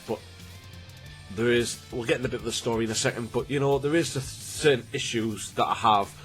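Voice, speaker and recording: A man's voice: medium pitch, a bit bright. A man, chatting casually to camera as a YouTuber, rambling and restarting, a bit snotty from a cold. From Newcastle, England. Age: 20s. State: has a cold, slightly snotty.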